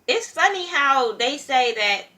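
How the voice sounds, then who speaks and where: Young woman, from California, bright sharp voice, blunt, profane, casual vlog rant. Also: high-pitched, sing-song, measured pace. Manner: blunt, profane, heated ranting. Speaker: reality TV reviewer. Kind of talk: casual opinion commentary.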